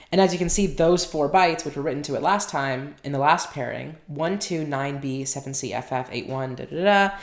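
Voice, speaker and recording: Low-voiced woman explaining in an echoey room. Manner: explains step by step while working, thinking aloud. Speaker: hardware tinkerer and channel host. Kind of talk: casual technical walkthrough.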